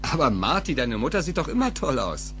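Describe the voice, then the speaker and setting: A man's voice: mid-range voice, big pitch swings, a little fast, fairly bright tone, a little hiss or hum. An actor playing a confident, genial suburban father in a film audio drama. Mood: genial, amused.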